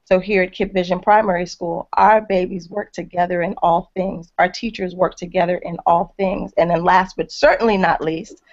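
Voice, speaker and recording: A woman's voice: fairly low pitch, fairly even intonation, moderate pace, few pauses. A woman school leader from Brooklyn, New York, speaking passionately and emphatically in a panel chat. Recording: dull lo-fi sound.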